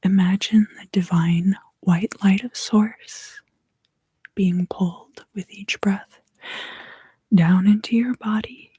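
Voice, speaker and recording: Woman, ASMR tarot reader: very husky and breathy, hushed and often whispering, soothing and unhurried. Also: mid-range voice, fairly even intonation, no room sound. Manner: slow, soothing, hushed guided delivery. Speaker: tarot card reader and host.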